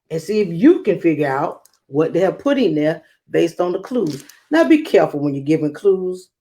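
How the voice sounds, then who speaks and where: A woman guiding young listeners through a read-aloud lesson, instructive and speaking straight to them with emphatic pauses. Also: on the low side, mellow. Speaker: guest reader and read-aloud host for children.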